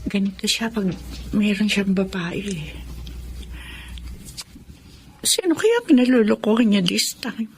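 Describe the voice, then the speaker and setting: A woman's voice: mid-range voice, expressive, normal speed, natural voice. An actress playing a suspicious, easily hurt middle-aged wife and mother in a Tagalog radio drama. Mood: hurt, worried. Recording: background noise and ambience.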